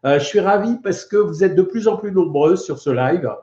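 A man's voice: sits high, moderate pace, dark tone. A middle-aged man with a French accent who is a doctor, chatting on a live stream with a head cold, explaining things with many hesitations. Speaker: doctor hosting a weight-loss live stream. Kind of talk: casual live stream talk answering viewer questions. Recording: roomy, dull lo-fi stream audio.

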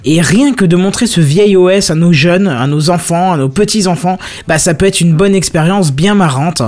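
Guy hosting a tech stream, slightly high voice, chatty, keeps stumbling on words. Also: normal speed, darkish tone, faint music behind. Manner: chatty, stumbles over words and repeats himself. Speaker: podcast host. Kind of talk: casual tech news chat.

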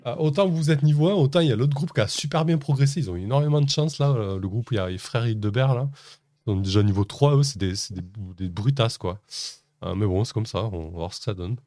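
A man acting as game master, improvising narration for a tabletop roleplaying game in a hesitant, halting way with repeated words. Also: medium pitch, expressive, a little mellow, no room sound. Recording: music playing under the voice.

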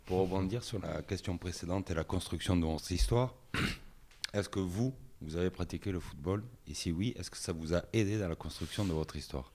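A man's voice: deep voice, moderate pace, darkish tone. A man in the audience politely asks the author a formal question. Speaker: audience member asking a question. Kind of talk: question from the floor.

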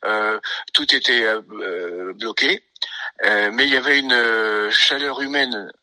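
Hesitant older man, bright dry voice. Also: lowish voice, no room sound, rough recording. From Italy. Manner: hesitant, halting, many fillers and restarts. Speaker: pianist. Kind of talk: radio interview, reminiscing.

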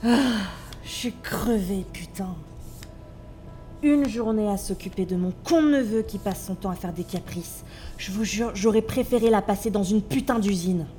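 Female gang member played by an actress, audio drama. Weary, casual, cursing, mellow mid-range voice. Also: medium pitch, moderate pace, soft background music, lightly acted. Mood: exasperated, weary. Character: tired, foul-mouthed, exasperated female gang member.

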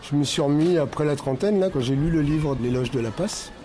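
A man's voice: a little high, fairly quick, warm tone, some chatter behind. A man in his thirties or forties telling his story in a casual, rambling way full of fillers. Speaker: interviewee, amateur footballer. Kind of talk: casual recorded interview.

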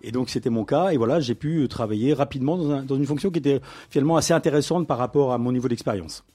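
A middle-aged man, a business owner, being interviewed and telling his career story in a relaxed conversational way, with frequent fillers and restarts. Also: medium pitch, fast talker. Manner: hesitant, many fillers and restarts, livens up when amused.

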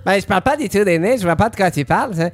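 A man's voice: sits high, expressive, rapid-fire, a crowd in the background. A man voicing a playful French-Canadian man with a put-on Québécois accent, defending his snowy home country. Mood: mock-proud. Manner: playful, mock-indignant.

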